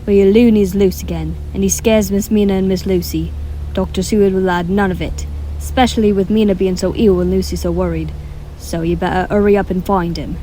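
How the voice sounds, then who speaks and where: Woman as a feisty mid-pitched household maid. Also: fairly even intonation, fairly quick, dark tone, slight background noise, lightly acted, poor audio. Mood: scolding, uneasy. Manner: colloquial, exclamatory, nagging. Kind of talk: radio drama acting.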